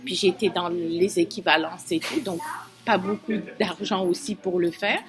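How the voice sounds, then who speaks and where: An earnest woman, her voice sitting on the low side. She answers questions thoughtfully and pauses often with fillers as she searches for her words. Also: steady tone, over music. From Algeria. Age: 50s. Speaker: interviewee. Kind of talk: casual interview answers.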